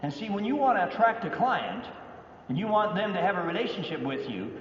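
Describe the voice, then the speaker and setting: A man's voice: high-pitched, very animated, brisk, a little hiss or hum. Canadian man, playful speaker in echoey hall. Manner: playful, teasing audience interaction. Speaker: business speaker. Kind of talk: live motivational talk. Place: large hall at an expo. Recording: echoey hall, distant mic, dull sound.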